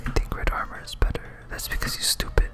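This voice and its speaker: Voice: fairly quick, pushed and bright, close to an everyday voice. An ASMR gamer voicing a dim, stubborn, squabbling goblin general in a whisper, with broken grammar. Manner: broken caveman-like grammar, bickering.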